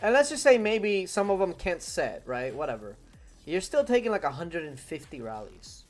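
A man's voice: high-pitched, big pitch swings, normal speed, soft background music. Young man with a Spanish accent. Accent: Spanish-speaking. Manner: repeats phrases, talks to his dog mid-game. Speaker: gaming streamer. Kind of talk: casual live-stream chat with viewers. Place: home room at a desk.

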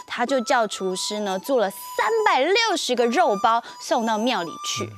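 A young woman with a Taiwanese accent narrating a story for children as a show host, expressive and dramatic. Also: fairly high pitch, moderate pace, soft background music. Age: young adult. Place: TV studio set.

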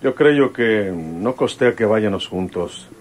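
A man's voice: on the low side, animated, slow, darkish tone. An actor playing a worried, determined country lawman and father in a radio drama, with folksy northern Mexican speech. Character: rural ex-comisario father, stubborn, worried, determined. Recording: noisy old radio drama recording.

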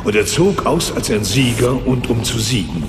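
An actor playing a solemn, portentous reader of apocalyptic scripture in a dramatic documentary. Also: male voice, medium pitch, lively intonation, moderate pace, background noise, natural voice. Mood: solemn, ominous. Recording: long cavernous reverb with music behind.

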